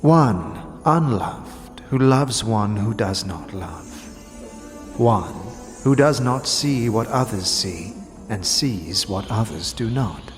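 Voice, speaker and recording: A man voicing a solemn, cryptic otherworldly being in a fantasy audio drama, measured and portentous, drenched in reverb. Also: fairly low pitch, lively intonation. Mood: solemn, ominous. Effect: long reverb. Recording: long reverb with music behind.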